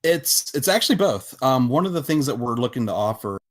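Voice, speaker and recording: A man speaking casually as a podcast guest, with halting, hesitant phrasing as he explains things. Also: medium pitch, very animated. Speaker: podcast guest, visual novel developer. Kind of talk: casual interview talk.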